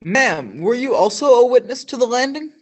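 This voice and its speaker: A man's voice: very high voice, very animated, normal speed, heavily acted. A man playing a flustered news reporter in a stage radio play, stammering through formal newsreader phrasing.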